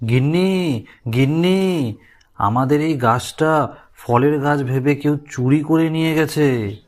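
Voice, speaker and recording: A man's voice: on the high side, slow pace, a little mellow. A man voicing a humble, earnest village fruit seller in a moral-story cartoon. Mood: alarmed.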